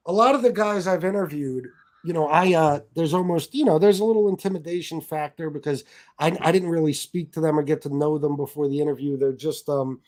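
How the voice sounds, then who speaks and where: Laid back 40s guy hosting a chat show, kinda high voice. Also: a little fast. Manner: relaxed, agreeable, reading viewer questions. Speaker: host. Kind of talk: casual interview chat.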